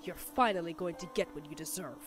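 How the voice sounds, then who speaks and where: A woman voicing a gloating villainess pony in an animated drama, sarcastic and menacing, with a mocking, drawn-out delivery. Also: lowish voice, over music, close to an everyday voice. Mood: gloating menace. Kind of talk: animated fan audio drama acting.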